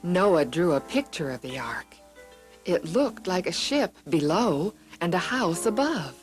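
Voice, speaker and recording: Woman narrator, children's Bible tales: deep for a female voice, very slow, measured, scripted. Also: very animated. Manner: deliberate, measured phrasing. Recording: loud music behind the voice.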